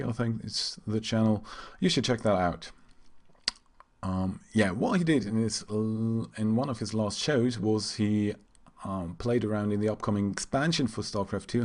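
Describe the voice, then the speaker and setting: A man from Germany, an English speaker, giving casual and somewhat halting gaming commentary. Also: lowish voice, a little mellow. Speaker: game streamer. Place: indoors at a computer.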